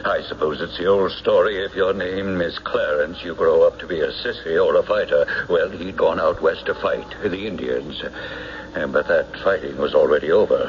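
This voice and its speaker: An actor playing a gossipy, hesitant American small-town banker in a radio drama, recalling things in a hemming, folksy way. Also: male voice, low-pitched, lightly acted. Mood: wry, hesitant. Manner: hemming, folksy, drawn-out recollection. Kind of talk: radio mystery drama acting. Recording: muffled, hissy old radio recording.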